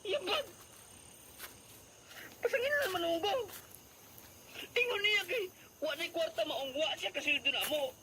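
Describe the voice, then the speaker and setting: A man's voice: very high-pitched, a little slow, long pauses, background noise. A man acting an aggrieved working husband in a radio drama, earnest and easily upset, with a Cebuano Filipino accent. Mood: tearful, indignant.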